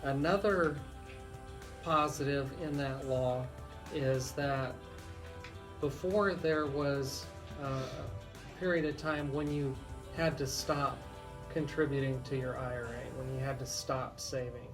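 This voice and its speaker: A man speaking as a legal expert on a radio talk show, explaining things deliberately with frequent pauses. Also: medium pitch, fairly even intonation, over music.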